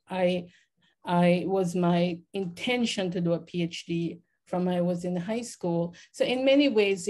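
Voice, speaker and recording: A middle-aged woman with a Jamaican accent, speaking on a panel over a video call, telling her story in an animated way. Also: on the low side. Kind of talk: reflective personal account on a panel. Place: home room, indoors. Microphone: laptop webcam video call.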